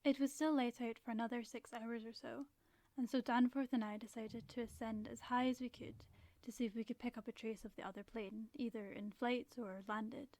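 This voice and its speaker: A woman acting as a haunted professor in a radio drama, gravely recounting a doomed polar expedition in literary, measured narration. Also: on the high side, steady tone, darkish tone, dry recording, lightly acted. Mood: grave awe. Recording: clear background hum and noise.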